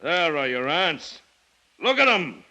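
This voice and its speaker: An actor playing a bold, stubborn jungle plantation owner in an old-time radio drama, self-assured and clipped. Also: male voice, on the high side, big pitch swings, takes it slowly, pushed and bright, faint music behind, exaggerated voice, rough recording. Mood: intent. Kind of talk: 1940s-50s radio drama acting. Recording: vintage radio recording with light noise.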